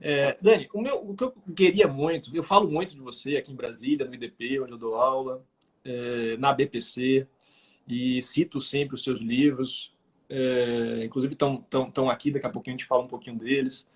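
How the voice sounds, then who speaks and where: A man's voice: mid-range voice, fairly even intonation, moderate pace, slightly rough. A man with a Brazilian accent hosting a casual interview, stammering and repeating the starts of his words as he frames questions. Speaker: interviewer, law lecturer.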